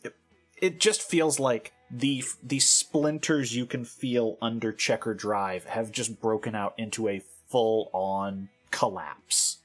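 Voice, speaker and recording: A woman in her thirties discussing albums casually as a podcast co-host, giving measured, considered opinions. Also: very deep voice, steady tone, soft background music.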